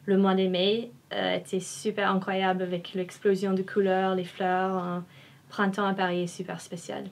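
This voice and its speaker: A woman's voice: mid-range voice, flat delivery, moderate pace. A young woman from Australia, an exchange student speaking with enthusiasm but hesitating in a second language. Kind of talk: testimonial interview.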